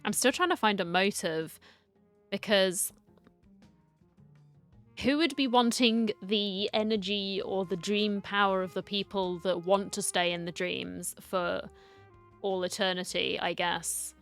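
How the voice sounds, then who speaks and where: A woman's voice: mid-range voice, steady tone, measured pace, fairly bright tone, soft background music. A woman giving casual reaction commentary as she streams a game, musing aloud and talking back to the characters.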